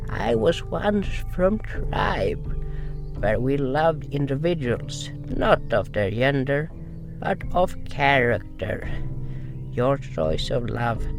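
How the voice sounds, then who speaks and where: A man playing a gentle, wise nonhuman healer in a fantasy audio drama, speaking halting broken English with dropped articles. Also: mid-range voice, creaky, exaggerated voice. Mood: gently consoling. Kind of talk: first-person fantasy audio drama narration and dialogue.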